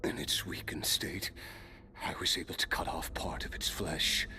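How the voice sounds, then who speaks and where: An actor playing a weary, cursed immortal warrior in a game cutscene, speaking low and half-whispered. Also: male voice, fairly even intonation, moderate pace, bright, forceful tone. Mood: grim.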